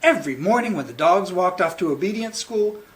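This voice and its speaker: A man reading a picture book aloud to children as a librarian at storytime, with theatrical, deliberate phrasing. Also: sits high, lively intonation, a bit bright.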